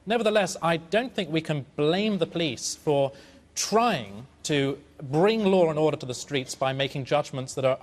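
A man's voice: on the high side, long reverb tail. A man arguing his position assertively as a panelist in a studio debate. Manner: assertive, argumentative.

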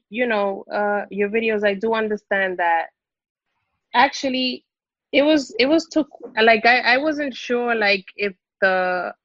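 A woman from the Dominican Republic speaks in a halting, searching way, often restarting her sentences. Her voice sits at an ordinary pitch and sounds dry and a little dull, as if from a modest recording. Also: no room sound. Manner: hesitant, many fillers and restarts. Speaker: guest exploring Islam. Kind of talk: hesitant personal conversation.

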